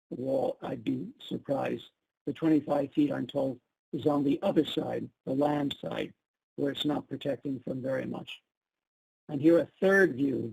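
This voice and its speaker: A man's voice: mid-range voice, creaky, warm tone, breathy edge, low-quality recording. A man with a Brooklyn accent presenting slides at a public meeting, explaining each picture step by step. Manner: explains slides step by step, asks for plain terms.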